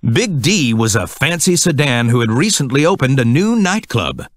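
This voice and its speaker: A man's voice: mid-range voice, big pitch swings, moderate pace, no room sound, clean studio sound. A man reading scripted narration for a children's read-along storybook.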